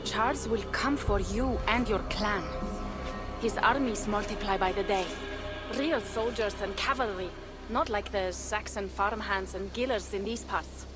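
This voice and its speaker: A woman's voice: medium pitch, unhurried, loud music behind the voice, close to an everyday voice. A woman playing a bold, persuasive Norse warrior in a dramatic video game, speaking in formal, old-fashioned phrases. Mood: earnest, grave. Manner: declarative, archaic formal phrasing.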